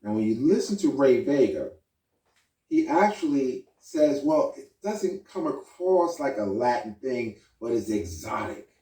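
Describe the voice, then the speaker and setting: A man's voice: medium pitch, big pitch swings, measured pace, dark tone. A man hosting an argumentative video commentary, explaining insistently and addressing viewers directly. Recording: roomy echo, distant from mic.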